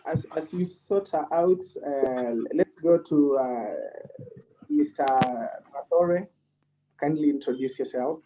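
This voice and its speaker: A man's voice: fairly high pitch, takes it slowly, warm tone, slight background noise, poor audio. A man hosting an online panel, polite and formal, with many fillers. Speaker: host.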